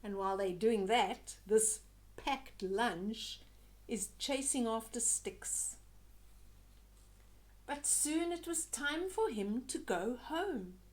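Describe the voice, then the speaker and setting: Older lady doing kids storytime, highish voice, unhurried, expressive, chats to the kids as she reads. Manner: expressive, chatty asides to young listeners. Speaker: children's storyteller. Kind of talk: read-aloud storytelling with asides.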